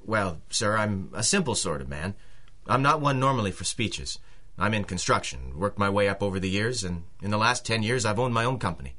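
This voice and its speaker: A big, simple working man with a low, firm, forceful voice. He speaks plainly and courteously, halting and bashful, in an earnest old-time radio drama style. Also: expressive.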